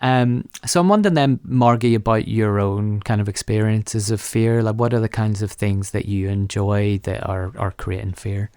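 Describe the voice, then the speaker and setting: Male podcast interviewer, lower register, conversational and tentative, very dry close sound. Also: fairly even intonation, normal speed, hardly any pauses, darkish tone, clean tone, no echo.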